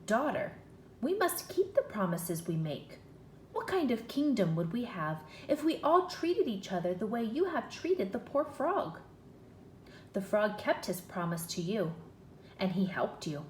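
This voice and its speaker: A woman voicing a stern king in a storybook, a father admonishing in a measured manner. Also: mid-range voice, sing-song, darkish tone, echoey room, a little hiss or hum.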